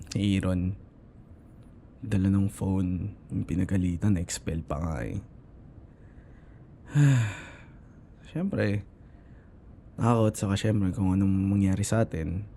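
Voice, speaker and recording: Man acting as shy young Filipino boyfriend, intimate ASMR roleplay, creaky low voice, soft and halting, affectionate. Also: slight background noise, heavily acted. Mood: hesitant, embarrassed. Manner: soft, hesitant, halting, slipping between Tagalog and English.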